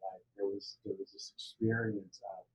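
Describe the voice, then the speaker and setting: A middle-aged man from the United States telling personal stories on a podcast in an animated, conversational way, repeating words for emphasis. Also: fairly low pitch, normal speed, low-quality recording. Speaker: podcast interview participant. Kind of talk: personal storytelling.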